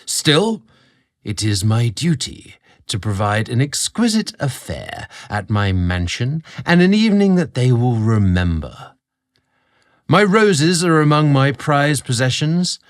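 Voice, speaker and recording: A man's voice: mid-range voice, wildly swooping pitch, takes it slowly, pitched above the performer's own voice, full character voice. A man voicing a haughty, icy British lord of the manor in an audio story, commanding and pompous. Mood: commanding, disdainful.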